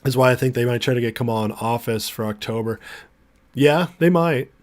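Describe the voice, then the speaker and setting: A man's voice: medium pitch, fairly quick. An American man hosting a casual livestream, chatting informally and chummily with viewers and reading their comments, his voice starting to give out as he recovers from illness. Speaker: livestream host.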